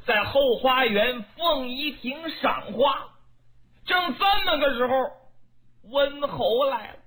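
A storyteller voicing a young woman who sobs and pleads in a high, plaintive voice. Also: bright, forceful tone, heavily acted, rough recording. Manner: sobbing, high plaintive wailing.

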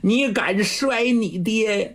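A man voicing a sharp-tongued old mother who scolds her son shrilly and sarcastically, in a storytelling performance. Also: high-pitched, takes it slowly, voice pushed up, exaggerated voice. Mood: shrill scolding. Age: older.

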